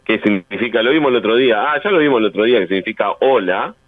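A man with a Rioplatense Spanish accent hosting casual, joking radio banter in a halting way. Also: on the low side, animated, no room sound. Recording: tinny band-limited radio sound.

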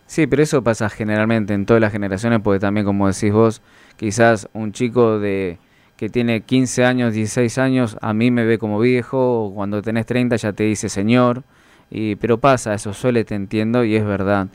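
Smooth-voiced Argentine man interviewing. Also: lowish voice, fairly even intonation, normal speed, a little mellow, no room sound. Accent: Argentine Spanish. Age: 40s. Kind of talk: casual interview chat.

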